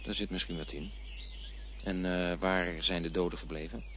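A hesitant son who is afraid of dying and still longs for his dead father, voiced by a man. His voice sits low and he keeps asking wary questions. The tape sounds dull and lo-fi, with a faint hiss. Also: flat delivery, moderate pace, fairly bright tone. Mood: questioning. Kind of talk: radio drama acting.

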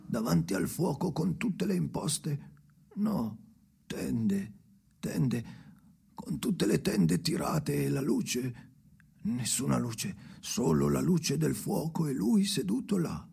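Slow, gravelly, breathy haunted old man. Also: fairly high pitch, very animated, warm tone, exaggerated voice. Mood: brooding, hushed. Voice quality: hoarse, breathy, gravelly, often near a whisper. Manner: halting, fragmented, incantatory repetition. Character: brooding old man, halting, haunted storyteller. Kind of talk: slow dramatic radio play monologue.

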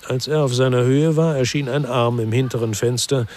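An actor playing a weary, bitter former policeman who narrates a noir crime radio drama in a measured, laconic way. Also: mid-range voice, fairly even intonation, darkish tone, lightly acted. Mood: grim, reflective.

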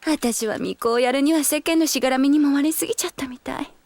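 A woman playing a reserved, world-weary young wanderer, an elder sister, in a Japanese audio drama, soft and restrained. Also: sits high, fast talker. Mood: wistful.

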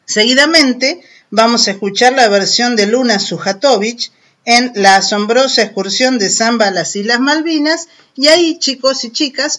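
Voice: mid-range voice, sharp tone. An Argentine radio host with an explanatory, didactic way of talking, addressing young listeners directly. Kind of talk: children's radio programme, explanatory narration.

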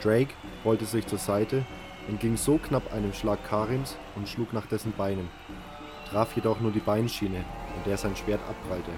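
A man's voice: lowish voice, fairly even intonation, measured pace, music in the background. A man narrating a scripted audio drama story.

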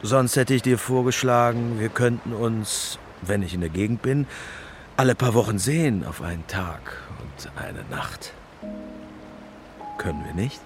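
A man's voice: lowish voice, expressive, measured pace, faint music behind. An actor playing a slightly irritated man in a radio play, terse and questioning. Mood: tentative. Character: probing, slightly irritated male café companion.

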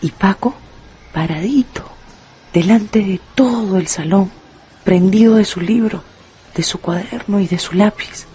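A woman narrator reading a scripted radio story in an expressive, dramatic storytelling manner. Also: mid-range voice, measured pace, breathy edge. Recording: loud music and crowd effects behind.

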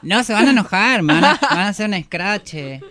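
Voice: medium pitch, sing-song, a little fast, a bit bright. An Argentine guest speaks in a middle register during casual group banter. The delivery is rambling, slangy and irreverent, like joking among friends in a studio. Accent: Argentine Spanish. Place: radio studio booth.

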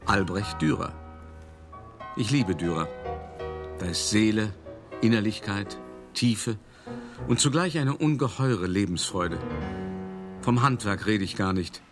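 A low-pitched, cultured older art dealer tells his story in a radio play monologue. He is wry and conversational, speaking straight to the listener, with music clearly behind him. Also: animated, slow, long pauses. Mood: admiring, musing. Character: worldly, cultured old art dealer reminiscing about his schemes. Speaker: narrator.

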